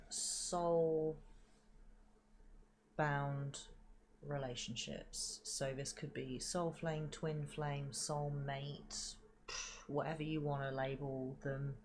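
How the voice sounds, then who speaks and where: A woman with a low, fairly monotone voice speaks at an unhurried pace. She gives a meandering spiritual reading full of fillers and the odd curse word. Her nose is stuffy and sniffly from hay fever. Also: crowd noise. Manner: meandering, halting with many fillers, casual swearing. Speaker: spiritual reader. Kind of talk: rambling spiritual reading to viewers.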